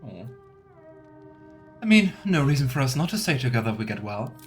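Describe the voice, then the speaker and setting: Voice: mid-range voice, big pitch swings, a little fast, soft background music. A let's player giving casual, musing commentary on a game and reading dialogue options aloud. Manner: musing, chatty asides.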